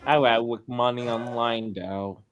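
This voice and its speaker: A man's voice: medium pitch, fairly even intonation, a little slow. A man in a casual group chat, talking in a blunt, opinionated way. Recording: faint music behind the voice.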